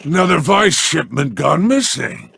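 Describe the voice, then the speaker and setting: An actor playing a smug, scheming male alien crime boss in a video game, with a deep gravelly growl and a slow, mockingly polite manner. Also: big pitch swings, a bit bright, a little hiss or hum, exaggerated voice. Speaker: merchant boss.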